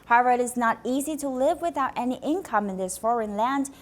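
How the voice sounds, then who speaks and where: A woman presenting the news as anchor, reading a script in a bright, even studio delivery. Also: fairly high pitch, quick pace.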